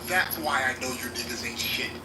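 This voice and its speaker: A man's voice: mid-range voice, normal speed. Profane, provocative man in a noisy echo. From New York City. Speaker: debate participant. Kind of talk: heated voice-chat debate. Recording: echoey, distant, noisy playback.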